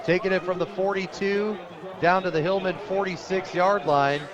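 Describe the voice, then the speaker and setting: A man's voice: high voice, fairly even intonation, normal speed, lo-fi sound. An American man doing live radio play-by-play commentary of a football game. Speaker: play-by-play announcer. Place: outdoor high school football stadium. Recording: loud crowd behind, outdoor stadium broadcast. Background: crowd noise.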